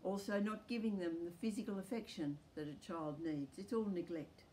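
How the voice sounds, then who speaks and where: An older woman with an Australian accent gives a calm, earnest home church talk outdoors in a rural backyard. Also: lowish voice, normal speed, slight background noise, low-quality recording. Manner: calm, earnest teaching delivery.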